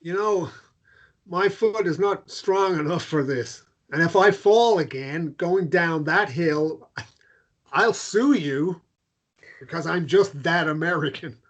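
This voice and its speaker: A man playing an awkward, gently joking American man in love in a naturalistic romance drama read, hesitant and stumbling over his words. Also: fairly high pitch, takes it slowly, muffled recording. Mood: wry.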